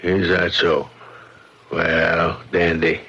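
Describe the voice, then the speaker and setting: Deep, husky, surly father. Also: monotone, drawn-out words, sharp tone, full character voice. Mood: surly, sarcastic. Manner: curt, sarcastic. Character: surly, sarcastic middle-aged father and householder. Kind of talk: radio mystery drama acting. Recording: muffled vintage radio drama recording.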